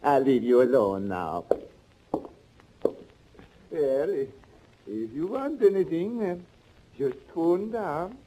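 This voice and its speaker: Nosy veteran hotel clerk: slow, very dark-toned man, self-satisfied and knowing, 1940s radio drama. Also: fairly high pitch, animated. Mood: coy. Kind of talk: 1940s radio character acting. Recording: noisy, muffled old radio recording.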